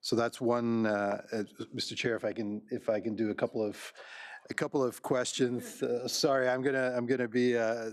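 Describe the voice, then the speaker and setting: A Canadian man, a school board trustee, asking questions at a board meeting in a rambling, halting way full of fillers. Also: mid-range voice, flat delivery, no room sound.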